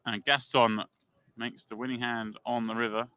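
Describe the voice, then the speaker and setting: A man calling play-by-play on a live poker stream, brisk and lively. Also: mid-range voice, smooth, no room sound. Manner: brisk, calls the action, acts out players' reactions.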